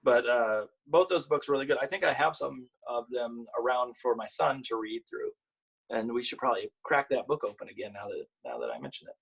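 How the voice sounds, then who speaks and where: Slightly husky man, informal storytime presenter. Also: mid-range voice, lively intonation, a bit bright, low-quality recording. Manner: informal, halting when chatting. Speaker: picture book author and illustrator. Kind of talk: virtual storytime, reading aloud and chatting about the books.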